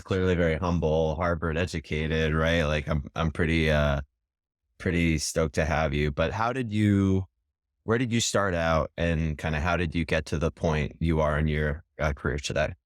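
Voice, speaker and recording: A man with an extremely low, deep voice hosts the interview in a casual, enthusiastic way, full of fillers and restarts. The recording is dry and close. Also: no echo. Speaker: podcast host.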